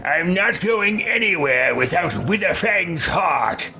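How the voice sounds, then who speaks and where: A man's voice: high voice, expressive, slow pace, vocal fry, music in the background, voice pushed up, exaggerated voice. A man voicing a bold, defiant adventurer while roleplaying a fantasy video game, with theatrical delivery. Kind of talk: roleplaying game dialogue aloud.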